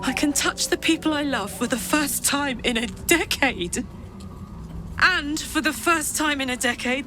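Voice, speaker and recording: A big-hearted, defiant female warrior from a fantasy game. Her voice sits a little high, and she talks plainly and casually with open feeling. Music plays underneath. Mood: pleading, heartfelt. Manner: frank, casual, emotionally open. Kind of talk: video game dialogue acting.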